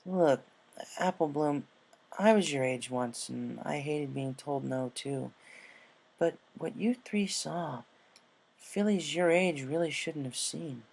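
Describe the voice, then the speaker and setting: A grown female pegasus from a storybook, read by a man. She speaks frankly and gently. The delivery is low-key, like an older sister talking to a younger one. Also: takes it slowly, creaky, breathy edge, full character voice. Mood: reassuring. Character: frank, injured adult female pegasus pony, big-sisterly.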